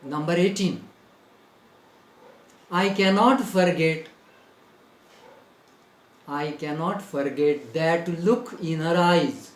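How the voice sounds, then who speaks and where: A man's voice: fairly high pitch, slow pace, pauses often, echoey room. A man with an Indian English accent teaching a grammar lesson. He repeats phrases for dictation and spells words out letter by letter.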